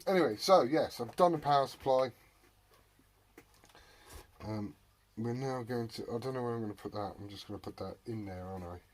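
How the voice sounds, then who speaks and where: British male hobbyist, mid-pitched, jokey and foul-mouthed, chatting loosely to viewers and himself; noisy, clicky workbench recording. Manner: sweary, jokey muttering to himself. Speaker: hobbyist repairer presenting a video. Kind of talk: rambling casual workbench chat to viewers.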